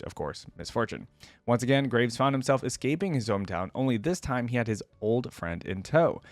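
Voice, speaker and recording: A man's voice: mid-range voice, no echo. A man hosting a reaction video, reading game lore aloud and breaking off for casual comments.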